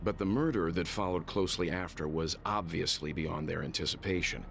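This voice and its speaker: A man voicing a grave, analytical older game character with a measured, deliberate delivery. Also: sits low, creaky, fairly bright tone, slight background noise. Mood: grave, thoughtful. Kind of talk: video game voice acting.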